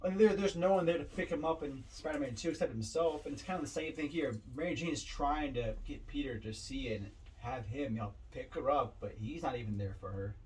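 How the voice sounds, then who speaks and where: A man's voice: mid-range voice, brisk, few pauses, darkish tone, recorded from a distance, poor audio. A man co-hosting a podcast, giving blunt opinions on films in casual talk, repeating himself for emphasis. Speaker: podcast co-host. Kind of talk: casual film discussion.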